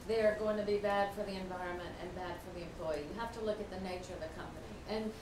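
A soft-spoken woman sharing her table's thoughts in a casual group discussion, somewhat hesitant. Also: medium pitch, fairly even intonation, fast. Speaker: attendee reporting for her table. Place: large meeting room with round tables. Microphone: room camera mic. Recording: roomy, from far off, noisy.

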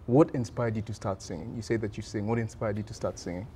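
A young man hosting an outdoor interview, friendly and halting, with lots of fillers. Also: lowish voice, steady tone, warm tone, slight background noise. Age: young adult. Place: outdoor courtyard garden.

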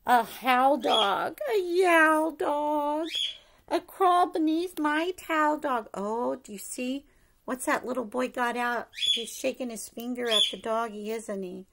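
A middle-aged woman with a high, bright voice reads to preschoolers at an unhurried pace. She is expressive and sing-song, like a teacher at story time, and often puts questions to the children. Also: gravelly, slight background noise. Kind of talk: animated picture-book read-aloud for young children. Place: living room at home, indoors.

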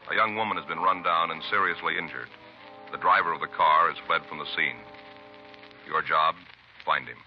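A man playing the solemn, measured announcer of a 1950s police radio drama. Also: rumbling low. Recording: dull 1950s radio recording. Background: music.

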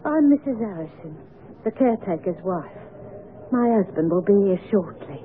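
Actress as solemn servant's wife, formal grave delivery, vintage radio drama, thin narrow sound, faint noise. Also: female voice, medium pitch, lively intonation, moderate pace, natural voice. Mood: solemn foreboding. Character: solemn caretaker's wife giving a grave warning. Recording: noisy phone-like vintage radio broadcast.

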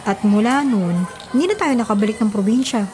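A woman's voice: a little high, animated, brisk, a little mellow, lightly acted. A Filipina actress in her twenties playing a hesitant, worrying student in a radio drama. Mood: doubtful, worried. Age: 20s. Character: hesitant, worrying young female student cousin. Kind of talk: Tagalog radio drama acting. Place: small padded radio studio. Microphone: studio microphone on boom arm.

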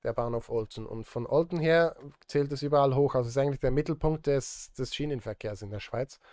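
A man's voice: mid-range voice, fairly quick, darkish tone, dry recording, clean studio sound. A man, chatting casually and conversationally as a livestreamer speaking straight to his viewers. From Switzerland. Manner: conversational, addresses chat directly. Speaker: livestreamer and film music composer. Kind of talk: casual livestream chat while working. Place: indoor home studio.

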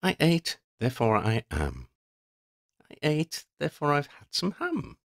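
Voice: mid-range voice, huge pitch swings, a little slow, no echo, studio quality, voice pushed up. A man voicing a gangly, muddle-headed wandering vagabond in a storybook, with quaint old-fashioned phrasing and a rambling, easily distracted manner. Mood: puzzled.

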